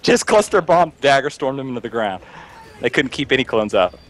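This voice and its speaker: A man's voice: medium pitch, lively intonation, a little fast, a little hiss or hum. A man guesting as a team coach, answering questions in a hesitant way with frequent "uh"s. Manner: hesitant, starts with "uh". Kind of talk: casual interview chat.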